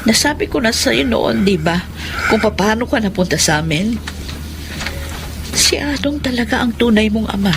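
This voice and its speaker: A woman's voice: fairly high pitch, expressive, normal speed, pauses often, noisy background. A woman playing an anxious middle-aged adoptive mother, earnest and dramatic, in a Tagalog radio drama. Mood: remorseful, solemn.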